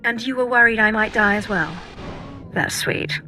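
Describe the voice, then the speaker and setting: A woman's voice: fairly high pitch, moderate pace, bright, forceful tone, soft background music. An actress voicing a cool, confident female Sith warrior in a video game, speaking tersely. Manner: cool, terse, slightly dry.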